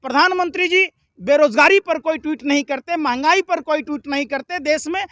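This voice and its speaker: A man's voice: very high-pitched, brisk, sharp tone, no echo, clean studio sound. A man speaking in a heated political argument, combative and indignant, with emphatic repetition and rhetorical questions.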